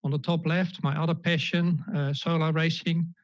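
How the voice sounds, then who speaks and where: A man's voice: on the high side, level tone, moderate pace, no room sound. A Dutch-accented man in his fifties presents over a video call, stopping often with fillers and restarting his sentences. The call audio is dull and lo-fi, and the sound is dry and close, with no room around it. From Netherlands. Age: 50s. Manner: hesitant, many fillers and restarts. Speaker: guest speaker. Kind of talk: online presentation talk.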